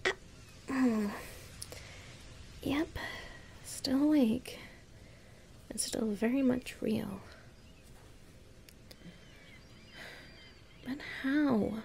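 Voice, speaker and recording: A woman acting a clumsy, anxious young woman in an audio roleplay, murmuring and thinking aloud in a halting, breathy way. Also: fairly high pitch, dark tone, a little hiss or hum, heavily acted. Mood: quiet wonder.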